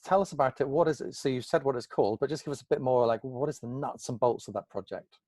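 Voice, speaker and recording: A man's voice: medium pitch, fast talker, mellow, no echo, clean studio sound. A man hosting an informal interview, curious and conversational, thinking aloud as he rephrases his questions. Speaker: interviewer, host.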